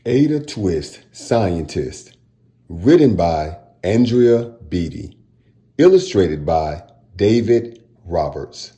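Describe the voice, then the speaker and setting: Male kids' read-aloud host: unhurried, very expressive, playful, talks directly to the child audience. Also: low-pitched, reverberant. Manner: playful, addresses listeners directly with questions. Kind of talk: animated children's read-aloud and casual chat to young viewers.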